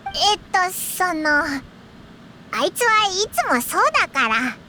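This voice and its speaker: A woman voicing a small, childlike fairy companion in an anime game, brash, rough-spoken and excitable. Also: extremely high pitch, normal speed, full character voice. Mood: curious, hesitant. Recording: clearly noisy, game sound underneath.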